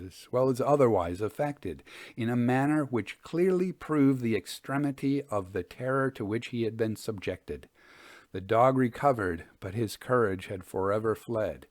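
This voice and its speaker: A man narrating scripted stories as a storyteller and host, with a measured, formal delivery. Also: mid-range voice, no room sound, studio quality. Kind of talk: scripted narration of old ghost stories with commentary.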